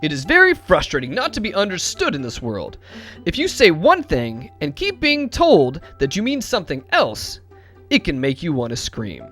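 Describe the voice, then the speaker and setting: Male storybook narrator, expressive and highly varied in intonation, with music underneath. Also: moderate pace.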